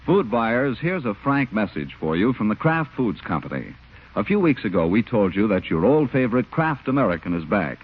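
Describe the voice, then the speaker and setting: A man's voice: lowish voice, big pitch swings, moderate pace, husky. A man reading scripted announcements on 1940s radio in a polished, emphatic salesman's delivery. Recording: tinny, narrow-band 1940s radio recording.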